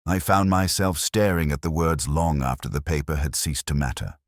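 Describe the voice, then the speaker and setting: A man playing a weary, sharp-minded police detective, narrating a mystery in a measured, literary, wry and brooding way. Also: rumbling low, no room sound, clean studio sound. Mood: weary, reflective. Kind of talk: scripted first-person detective narration.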